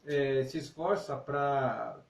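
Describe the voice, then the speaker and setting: A man's voice: mid-range voice, fairly even intonation, takes it slowly. A middle-aged man hosting a casual devotional live stream, encouraging listeners conversationally with frequent check-in tags. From northeastern Brazil (born in Alagoas, raised in Paraíba). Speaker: ministry leader and live-stream host. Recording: roomy, distant mic with clear noise.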